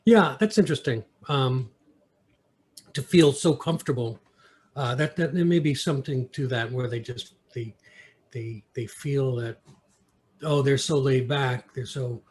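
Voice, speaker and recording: American guy chatting in an interview, medium pitch, thoughtful, keeps hunting for words. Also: darkish tone. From United States, New York. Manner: halting, searching for words, thoughtful. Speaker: interview guest. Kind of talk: casual conversational interview.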